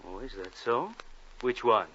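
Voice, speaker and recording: Hard-edged, suspicious party boss. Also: male voice, mid-range voice, unhurried, pushed and bright. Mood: suspicious, commanding. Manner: imperious, probing. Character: sharp, suspicious, domineering communist party boss. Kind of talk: 1950s radio drama acting. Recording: muffled, noisy 1950s radio broadcast.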